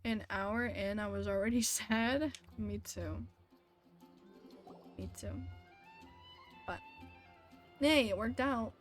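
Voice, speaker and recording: A woman's voice: medium pitch, takes it slowly, long pauses. A young woman streaming a game, chatting casually in a blunt, sweary, complaining way. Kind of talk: casual livestream chat and game commentary. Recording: music behind the voice. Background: game music.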